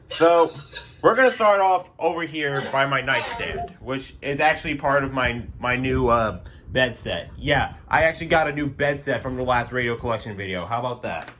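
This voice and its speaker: A young man talking casually and loosely, full of filler words and little jokes. His pitch sits at a middling level. Faint noise hums in the background. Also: expressive, moderate pace, a bit bright. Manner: rambling, joking, many fillers. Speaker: weather radio collector. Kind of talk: casual review vlog. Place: his bedroom.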